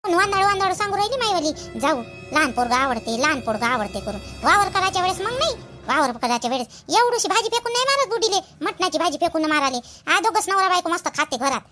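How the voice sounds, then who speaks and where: A cartoon village woman, played by a woman, speaks quickly in an extremely high voice that swoops up and down. She is sharp-tongued and emphatic, with a Vidarbha Marathi accent. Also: sing-song, soft background music, exaggerated voice. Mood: scornful. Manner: mocking, argumentative, emphatic. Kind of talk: colloquial cartoon gossip dialogue.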